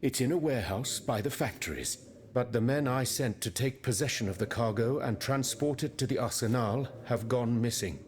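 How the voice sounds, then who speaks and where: A man's voice: mid-range voice, moderate pace, close to an everyday voice. A man voicing a sober scientist and official in a video game, precise and formal. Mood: worried, formal. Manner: precise, matter-of-fact, formal. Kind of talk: video game cutscene acting.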